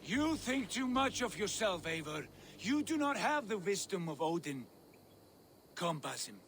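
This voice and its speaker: A man's voice: high-pitched, big pitch swings, unhurried, fairly bright tone, slight background noise, exaggerated voice. An actor playing a proud, volatile Viking lord in a video game, declaiming imperiously and with bitterness toward his brother. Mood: scornful, reproachful. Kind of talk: dramatic video game acting. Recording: game music behind the voice.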